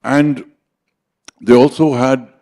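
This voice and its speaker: A man's voice: mid-range voice, steady tone, slow pace, a little mellow. An older man with an Indian English accent, telling stories about his life as a conference speaker. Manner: anecdotal, switches into Hindi for quoted dialogue. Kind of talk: personal storytelling.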